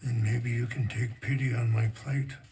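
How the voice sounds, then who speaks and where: An actor playing a grave, gentle old chief in a video game, speaking in a measured, reflective way with a gravelly voice. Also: male voice, medium pitch, level tone, lightly acted. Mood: gentle, pleading. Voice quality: gravelly, creaky. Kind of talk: cinematic video game acting.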